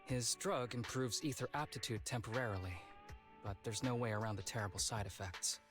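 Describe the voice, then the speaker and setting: A man's voice: fairly low pitch, fairly quick, music in the background, close to an everyday voice. Breezy, secretive young officer acted by a man. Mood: guarded, matter-of-fact. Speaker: video game character voice. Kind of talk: voiced game dialogue.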